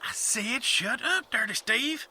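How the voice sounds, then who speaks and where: A man's voice: very high-pitched, lively intonation, slow, pushed and bright, heavily acted. A man playing a menacing, bossy land-grabbing villain in a comedic western audio drama, with a Southern US accent. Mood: bossy, irritated. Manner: bossy, threatening.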